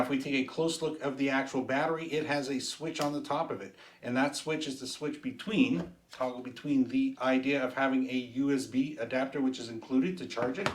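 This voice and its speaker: A man walks through a product like a casual reviewer, explaining each step in plain, folksy language. His voice has an ordinary middle pitch, and there is some faint noise behind him, with small handling clicks.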